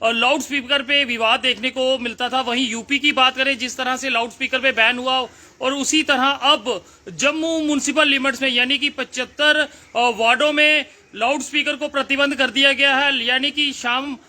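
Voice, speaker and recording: A male news presenter delivers a report straight to viewers. His voice sits extremely high for a man and has a very sharp, forceful edge. He phrases things emphatically, circling back over his key points. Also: steady tone, normal speed.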